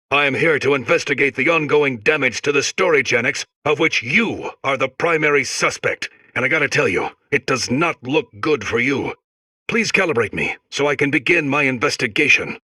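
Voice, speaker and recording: A suspicious robot investigator for a video game. He sounds bright and forceful, a little high for a man, and interrogates in officious, hard-boiled phrases. The recording is close and dry. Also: no room sound. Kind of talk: video game character acting.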